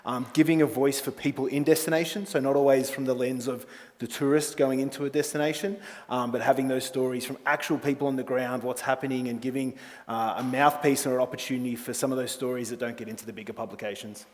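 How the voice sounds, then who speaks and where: A man's voice: mid-range voice, steady tone, fast talker, darkish tone. Australian man speaking in an echoey hall. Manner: chatty, informal, self-deprecating. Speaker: corporate communications manager and conference speaker. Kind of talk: informal conference talk. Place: conference hall, on stage. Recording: echoey large hall sound.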